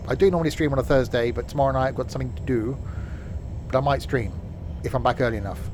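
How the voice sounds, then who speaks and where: A man's voice: medium pitch, quick pace, a little mellow, a little hiss or hum. A man, chatting casually and rambling with fillers while live-streaming a game.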